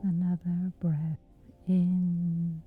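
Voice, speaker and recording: Soft whispery lady, really slow, low voice, thin tinny sound w faint music under it. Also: level tone. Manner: slow gentle soothing delivery. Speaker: meditation guide. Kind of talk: guided sleep meditation.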